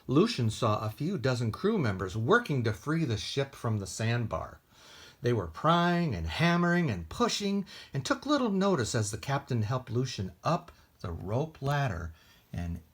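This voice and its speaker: Animated man reading a children's story. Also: medium pitch, husky. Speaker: library storytime host and reader. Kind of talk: expressive read-aloud storytelling for children.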